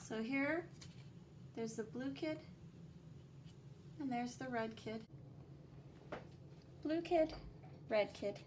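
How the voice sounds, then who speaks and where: A woman teacher reading a picture book aloud to children, explaining the drawings in a simple, instructive, expressive way with sing-song emphasis on key words. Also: fairly high pitch, drawn-out words, long pauses, noisy background.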